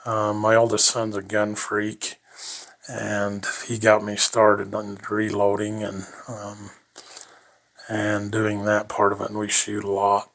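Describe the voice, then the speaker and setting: Older male interviewee, low-ish voice, rambling folksy storyteller who chuckles often. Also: completely flat delivery, slow pace, fairly bright tone. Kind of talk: reminiscing life story.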